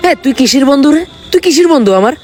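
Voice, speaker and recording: A man's voice: extremely high pitch, lively intonation, racing, darkish tone, soft background music. A young man with a rural Bangladeshi accent playing a reproachful, pleading son in an amateur drama. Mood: angry. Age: young adult. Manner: reproachful, insistent. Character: upset, pleading young son scolding his smoker father. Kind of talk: amateur Bengali short drama acting.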